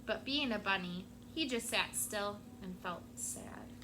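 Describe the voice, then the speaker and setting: A woman teacher reading a picture book aloud to her class, expressive and stopping to prompt the children. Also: mid-range voice, unhurried, heavy background noise.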